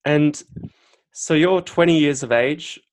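A man's voice: on the high side, takes it slowly, poor audio. Enthusiastic male podcast host. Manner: enthusiastic, with frequent restarts. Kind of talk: podcast interview.

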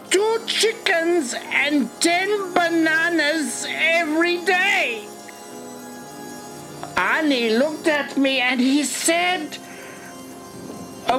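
A woman voicing an earnest Austrian man with an Austrian accent, deliberately telling a comic childhood story over music. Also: high voice, exaggerated voice, rough recording. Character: Austrian man named Herman earnestly recalling his schooldays.

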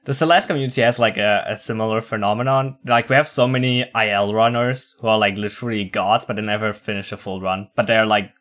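A man's voice: lowish voice, steady tone, fast, hardly any pauses, fairly bright tone. A man hosting a casual podcast interview, chatty, leaning on "like" and laughing often. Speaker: podcast host.

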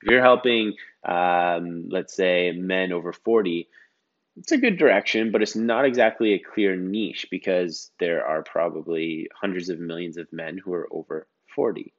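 Man, podcast host, very deep voice, energetic, emphatic, slightly groggy, a bit dull lo-fi sound. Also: normal speed. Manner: energetic, emphatic, repeats words for stress. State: groggy morning voice. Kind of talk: casual solo podcast coaching talk.

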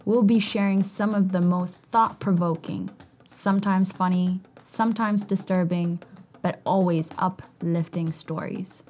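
A woman's voice: lowish voice, steady tone, measured pace, a little mellow. A woman from Ethiopia reading scripted narration as the host of a podcast. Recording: music under the voice. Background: background music.

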